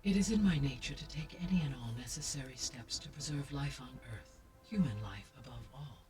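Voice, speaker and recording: A woman voicing a calm, formal female AI guardian in a video game, speaking in a measured, precise way. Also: a bass voice. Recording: distant game audio with music.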